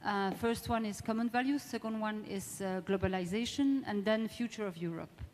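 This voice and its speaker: A woman moderates a conference debate in English with a Belgian French accent. Her voice is soft-edged and mellow, and she often searches for her words. The sound carries the long reverberation of a big hall. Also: medium pitch, a little hiss or hum. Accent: French-speaking Belgian. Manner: halting, searching for words in non-native English. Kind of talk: live panel introduction at a conference. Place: large indoor conference hall, amplified.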